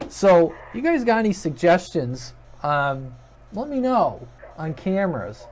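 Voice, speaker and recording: A man's voice: high-pitched, sing-song, measured pace, a little hiss or hum. Man chatting casually, rambling along. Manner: informal, rambling, thinking aloud. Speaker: hobby radio video channel host. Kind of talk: casual, unscripted channel update to viewers. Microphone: USB webcam at a desk.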